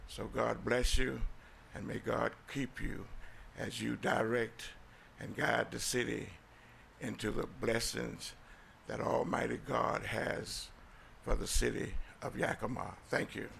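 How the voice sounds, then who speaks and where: An American pastor, a man with a heavily creaky voice and very flat intonation, addresses a council meeting. He is solemn and deliberate, pausing often between short phrases. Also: medium pitch, a little hiss or hum. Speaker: pastor, guest speaker. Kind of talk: formal thank-you speech with blessing. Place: city council meeting room.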